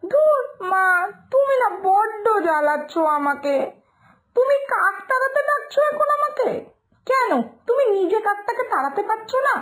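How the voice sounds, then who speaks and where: A woman plays a lazy village daughter in a cartoon fairytale. The voice is very high and animated, whiny and irritated as she talks back defiantly. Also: moderate pace. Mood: annoyed, defiant.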